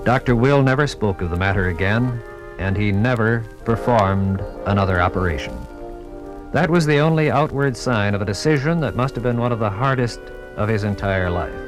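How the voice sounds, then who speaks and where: A man's voice: fairly low pitch, expressive, moderate pace, music in the background, poor audio. Formal American male radio narrator. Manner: formal, dramatic announcer delivery. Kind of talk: 1950s radio documentary scripted narration. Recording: vintage 1950s radio recording.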